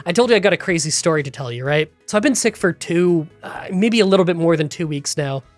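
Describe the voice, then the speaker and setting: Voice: mid-range voice, lively intonation, quick pace, no room sound, soft background music. A gaming YouTuber with a nasal, congested voice from a cold, chatting through a challenge recap and rambling story into a close voiceover mic.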